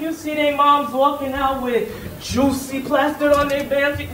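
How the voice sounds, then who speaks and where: A woman's voice: high voice, a little hiss or hum. A woman playing a weary, gossipy older school staffer who sighs and exclaims as she talks. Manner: sighing, chatty, drawn-out exclamations. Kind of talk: stage monologue. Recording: echoey room from far off.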